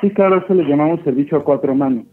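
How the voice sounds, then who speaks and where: A man's voice: fairly high pitch, fast talker, husky. A young man with a Mexican accent speaking as a courteous, reassuring spa receptionist on the phone. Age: young adult. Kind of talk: polite customer service phone talk. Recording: muffled phone line, faint music.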